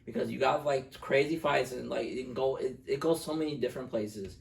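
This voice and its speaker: A man's voice: mid-range voice, brisk, gravelly. A man co-hosting a casual movie review chat, speaking informally and with strong opinions, often repeating himself to make his point. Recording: roomy, distant mic with faint noise.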